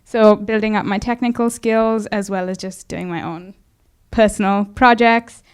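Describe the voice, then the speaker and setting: A woman's voice: mid-range voice, steady tone, normal speed, clean tone. A young woman from India giving a casual talk about her photography, hesitant and full of fillers. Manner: hesitant, many fillers, light laughs. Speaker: guest speaker, photographer. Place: event space.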